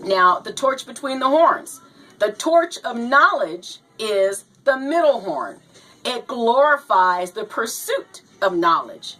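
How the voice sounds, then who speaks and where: Hoarse, slow-talking woman host. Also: fairly high pitch, very animated, pushed and bright, soft background music, poor audio. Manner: slow, halting, says "you know" and "now". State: hoarse from a cold. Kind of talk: reading and talking to viewers.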